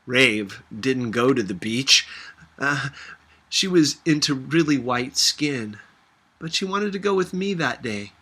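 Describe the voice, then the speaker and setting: A man's voice: medium pitch, lively intonation, takes it slowly, pushed and bright, slightly rough. A man reading a first-person story aloud in a conversational, reflective manner. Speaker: audiobook reader.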